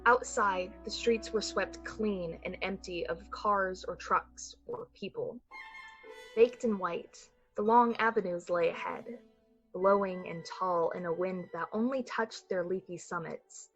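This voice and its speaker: A woman with a breathy voice delivering scripted, dramatic narration for a suspense radio play. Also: fairly low pitch, measured pace, highs cut off, soft background music. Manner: dramatic, literary storytelling.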